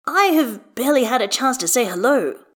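A woman's voice: a little high, moderate pace, pitched above the performer's own voice. Woman as a dry, long-suffering dad. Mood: wry. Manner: wry, exasperated, flatly sarcastic. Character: dry, long-suffering father, a grown man.

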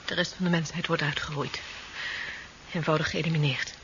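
A woman's voice: sits low, steady tone, brisk, fairly bright tone. Muffled earnest, anxious woman. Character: earnest, anxious woman aiding resistance fighters. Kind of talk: 1970s radio drama acting. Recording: muffled, hissy 1970s radio recording.

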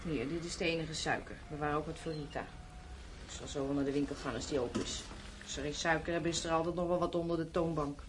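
A woman's voice: deep voice, fairly even intonation, fast talker, natural voice. An actress playing a tired, sceptical wife and mother in a naturalistic radio play, nagging and dismissive. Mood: weary, grumbling. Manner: scolding, dismissive. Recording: noisy old radio drama recording.

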